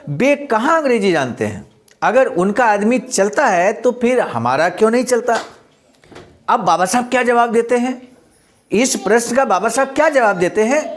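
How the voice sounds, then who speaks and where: Didactic presenter reading and explaining a speech. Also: mid-range voice. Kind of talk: read-aloud speech with explanatory commentary.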